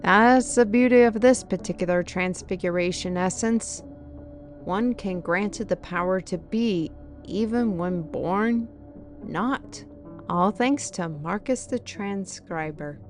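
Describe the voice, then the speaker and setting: A woman's voice: mid-range voice, takes it slowly, soft background music, close to an everyday voice. A woman playing a knowledgeable fantasy companion, explaining lore in an earnest, wordy way in an audio roleplay. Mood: instructive.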